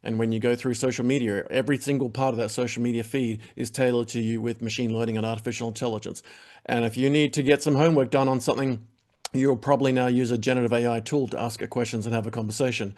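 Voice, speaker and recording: A man's voice: medium pitch, level tone, fast, darkish tone. A man hosting a web TV interview, wrapping up with upbeat, rambling plugs full of fillers. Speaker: web TV show host. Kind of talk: interview wrap-up with audience plugs.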